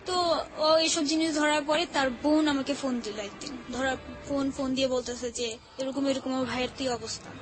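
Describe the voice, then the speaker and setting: A woman's voice: sits high, fairly even intonation, normal speed, poor audio. A young woman with a Bangladeshi accent, telling personal stories candidly in casual colloquial talk as a show guest. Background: background music.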